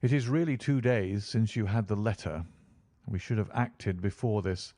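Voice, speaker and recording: A man reads as a commanding detective, crisp and impatient in delivery. The voice is mellow and dry, sitting near his own natural pitch. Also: moderate pace, no room sound, natural voice. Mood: urgent. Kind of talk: audiobook character reading.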